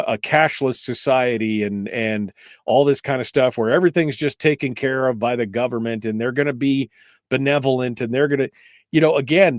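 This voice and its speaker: A man's voice: medium pitch, a little mellow, no room sound, studio quality. A male talk radio host speaking live in an emphatic, conversational, rambling way, giving his opinions and asking rhetorical questions.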